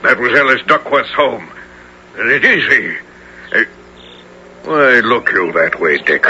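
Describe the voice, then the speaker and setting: An actor playing an uneasy, defensive scheming cleric with formal, archaic speech in a 1970s radio drama. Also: male voice, medium pitch, very animated, measured pace, sharp tone, a little hiss or hum, exaggerated voice. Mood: uneasy, indignant. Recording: dull old radio broadcast.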